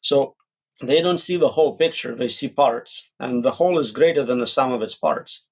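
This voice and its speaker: A man's voice: mid-range voice, normal speed, husky. A man answering as an expert guest in an interview, explaining in short, plain statements with examples. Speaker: interview guest, AI safety researcher.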